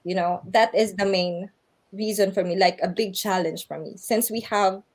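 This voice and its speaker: A woman's voice: medium pitch, fairly even intonation, normal speed. A woman with a Filipino accent, a guest speaker giving earnest advice over a video call, laughing now and then. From Philippines. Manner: earnest, advising, laughs often. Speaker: guest speaker, English teacher in Japan. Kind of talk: online orientation interview answers.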